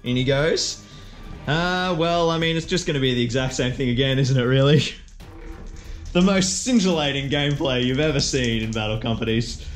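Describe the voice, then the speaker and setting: A man narrates his own tabletop wargame turn by turn in a casual, mid-pitched voice. He is jokey and self-mocking, exclaiming at the dice, with music playing behind him. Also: normal speed. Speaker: player and host of a tabletop wargame video. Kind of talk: casual play-by-play game commentary.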